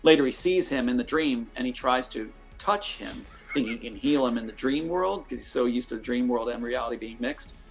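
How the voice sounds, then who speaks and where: A man's voice: medium pitch, expressive, a little fast, hardly any pauses, soft background music. A man in a played interview clip, rambling through a story and tripping over repeated words.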